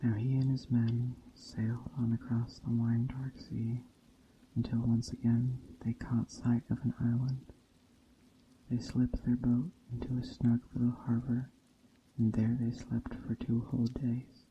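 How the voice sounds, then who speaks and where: Creaky, flat-toned man reading softly, muffled recording. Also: mid-range voice, a little slow, background noise. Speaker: storyteller, narrator. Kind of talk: ASMR story reading, soft-spoken bedtime storytelling. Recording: dull muffled sound, faint background ambience. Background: soft nature ambience.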